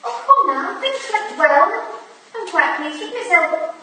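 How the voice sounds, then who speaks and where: Actress playing earnest young Victorian maid, very high bright voice, deferential, stage drama, distant echoey hall. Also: female voice, animated, slow, slight background noise, heavily acted. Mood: earnest, concerned. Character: eager, polite young Victorian female protégée and maid. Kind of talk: staged play reading.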